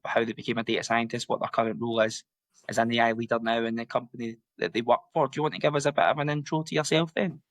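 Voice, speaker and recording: Guy hosting a podcast, chatty interview style, average pitch, really dry sound. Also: flat delivery, fast talker, fairly bright tone, no room sound. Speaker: podcast host. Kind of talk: casual interview chat.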